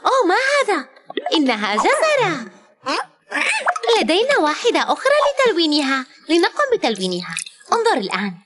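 Super high lady voice narrating a kids learning cartoon, very singsong and lively, bit of noise behind. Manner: animated, exclaiming, talking to a cartoon character as to a small child. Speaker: narrator of children's educational cartoon. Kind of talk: scripted children's narration, teaching vocabulary.

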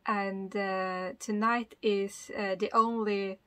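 A woman in her thirties, a native Swedish speaker, talks to a camera held close inside a small sailboat cabin. She sounds relaxed and unhurried, thinking aloud with plenty of ums and uhs. Her voice sits at an everyday pitch. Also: no room sound. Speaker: sailing vlogger. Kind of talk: casual vlog talk to camera.

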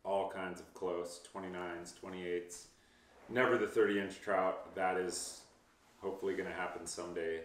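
Man, 30s, fishing guide, low voice, casual and reassuring, recorded at a distance in an echoey home office. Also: fairly even intonation, normal speed, a little hiss or hum. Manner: easygoing, reassuring, frequent ums. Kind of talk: casual interview answers. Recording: roomy, distant mic.

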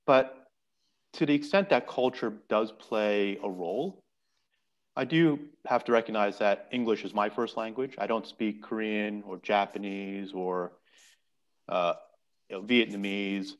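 A man's voice: on the low side, fairly even intonation, unhurried. A middle-aged man, a podcast guest, explaining step by step in a thoughtful, conversational way over a video call from a home office. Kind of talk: conversational interview answers.

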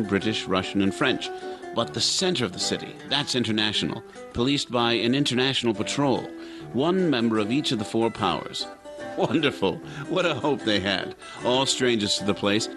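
A man's voice: mid-range voice, natural voice. Chatty, worldly male radio-play narrator actor, mid-range, dryly amused, with prominent string music behind. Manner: wry, rambling, conversational. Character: worldly, chatty British narrator of post-war Vienna. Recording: music playing under the voice.

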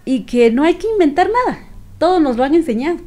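A middle-aged woman with a Guatemalan accent giving earnest, reflective interview answers in a measured way, with pauses. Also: high voice, expressive, a little mellow. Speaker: activist guest. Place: small radio studio with several people. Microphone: studio microphone on stand.

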